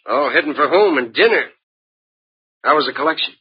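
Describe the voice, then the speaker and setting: A friendly old-radio street cop, very up and down voice, muffled recording. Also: male voice, fairly high pitch, expressive, brisk, husky. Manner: casual, folksy. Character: friendly, chatty neighborhood beat policeman. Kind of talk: 1940s radio drama acting.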